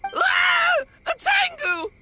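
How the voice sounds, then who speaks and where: A woman's voice: very high-pitched, big pitch swings, extremely slow, bright, forceful tone, raised voice, full character voice. A woman voicing a small, timid, whiny tanuki creature that stammers nervously in a video game. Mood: panicked. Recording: music behind the voice.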